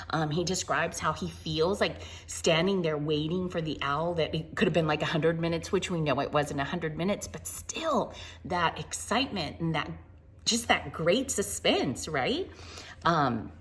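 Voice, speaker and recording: A woman reading a picture book aloud to children in an expressive, wonder-filled way, with chatty asides and hushed moments. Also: sits low. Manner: animated, wonder-filled, addressing young listeners, dropping to hushed tones.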